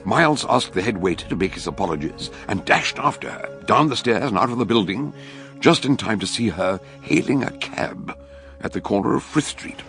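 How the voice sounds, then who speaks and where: An actor narrating a radio mystery drama as a storyteller. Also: male voice, lowish voice, expressive, moderate pace, fairly bright tone, faint music behind. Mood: storytelling.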